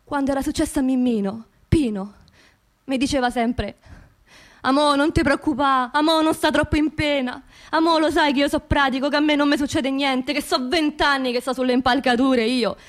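An actress playing an angry, grieving working-class widow in a radio monologue, speaking colloquial Roman dialect in a confiding, impassioned way with repeated phrases. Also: female voice, high voice, steady tone, normal speed, natural voice. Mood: fond, recalling.

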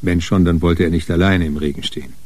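An actor narrating a radio crime drama as a composed storyteller. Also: male voice, sits low, fairly even intonation, fast, darkish tone. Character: composed male storyteller of a detective tale.

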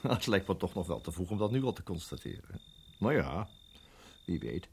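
A man acting in a radio play as a protective husband, speaking in a halting, urgent hush. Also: low-pitched, sing-song, creaky, a little hiss or hum, heavily acted. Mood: calm, casual. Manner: hushed, halting, urgent whispering. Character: tense, protective husband guiding his endangered wife.

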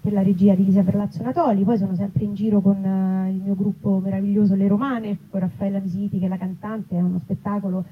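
A woman, a writer and actress, chatting casually and animatedly in an interview, often pausing to rephrase. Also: mid-range voice, flat delivery. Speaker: writer and actress interviewed about her book. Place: live festival event. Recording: muffled recording with clear background noise.

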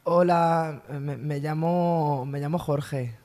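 A man's voice: on the high side, fairly even intonation, slow pace, darkish tone. A man playing a nervous, oblivious boyfriend who stammers and repeats himself as a caller in an absurd radio comedy. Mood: nervous, hesitant. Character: nervous, dim, oblivious young boyfriend phoning in.

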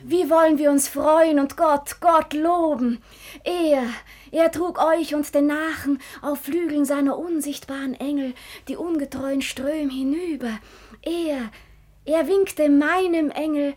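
High-voiced, rapturous young daughter. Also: female voice, fairly even intonation, slow pace, a little mellow. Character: devout, rapturous young daughter, dreamy and adoring. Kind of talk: classical German radio drama acting.

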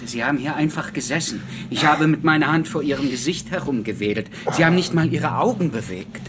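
A man's voice: a little high, expressive, brisk, noisy background, close to an everyday voice. An actor playing a patient, deferential male assistant in a German radio drama, speaking matter-of-factly. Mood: concerned. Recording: dialogue over very loud background music.

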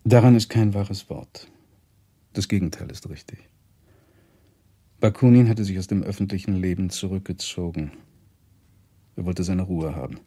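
An actor playing a blunt, proud émigré professor in a German radio play, deliberate and dryly reproachful. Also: male voice, low-pitched, warm tone, breathy edge. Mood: firm, indignant.

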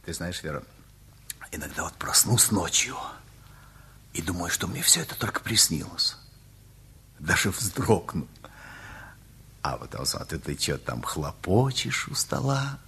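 An actor playing a tender, plain-spoken middle-aged husband with a very husky, breathy voice and sincere manner in a radio play. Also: male voice, low-pitched, big pitch swings, a little slow, pauses often, bright, forceful tone, full character voice.

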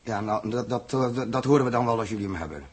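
Male captain role, actor: very husky, rough-edged voice, practical and in command, sci-fi radio drama. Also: medium pitch, fast, natural voice. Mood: businesslike. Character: commanding, practical spaceship captain running his crew. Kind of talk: 1960s Dutch radio play acting.

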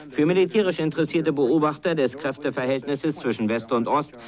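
A man's voice: on the high side, fairly even intonation, fairly quick, a little mellow. A man reading a scripted news report in a formal style. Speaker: newsreader.

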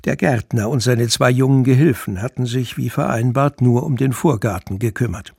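A man's voice: medium pitch, moderate pace, creaky, darkish tone, husky, no room sound, clean studio sound. A man narrating a scripted radio drama.

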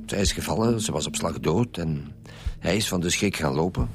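A man's voice: deep voice, lively intonation, normal speed, over music. A man playing a blunt, impatient police commissioner with a Flemish accent in a radio drama. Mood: brusque, decisive. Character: gruff, insistent male police commissioner leading homicide detectives. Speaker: actor in a radio detective serial.

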